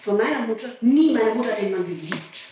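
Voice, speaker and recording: A woman's voice: a little high, animated, brisk, warm tone. Insistent woman on an echoey old tape. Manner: emphatic, insistent, pleading. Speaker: person being questioned by a prosecutor. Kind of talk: spontaneous interrogation answers. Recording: echoey, noisy archival interrogation tape.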